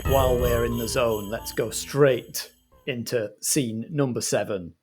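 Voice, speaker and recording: A man's voice: medium pitch, fairly even intonation, a little slow, over loud music. A man hosting as compere and game master, making announcements and setting scenes with theatrical phrasing. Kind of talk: scripted announcements and scene narration.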